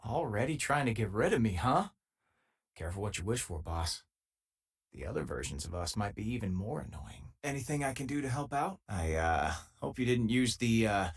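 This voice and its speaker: An easygoing spacer companion for a sci-fi game. His voice sits fairly low with a husky edge. He speaks conversationally, with dry, teasing humor and small thoughtful pauses. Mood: wry, teasing. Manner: casual, dryly teasing, hesitant pauses. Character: easygoing, wry male space-faring companion, a former miner. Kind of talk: video game voice lines, conversational.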